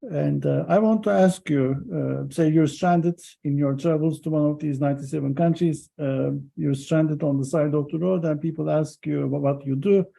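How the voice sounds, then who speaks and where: A man interviewing an academic, asking questions haltingly with many fillers. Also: a little high, steady tone, vocal fry, mellow.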